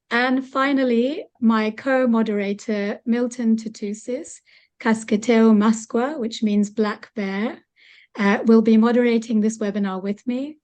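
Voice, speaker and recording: Woman moderator, reads speaker bios steadily, video call. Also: a little high. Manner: steady, scripted delivery. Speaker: webinar moderator. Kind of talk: reading speaker introductions.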